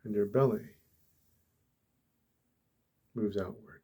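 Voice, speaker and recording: A man speaking softly and deliberately, with long pauses, guiding a meditation in a gentle ASMR style. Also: fairly low pitch, steady tone, creaky, breathy edge. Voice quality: soft, hushed. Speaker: ASMR host, meditation guide. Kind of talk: soft-spoken ASMR guided meditation.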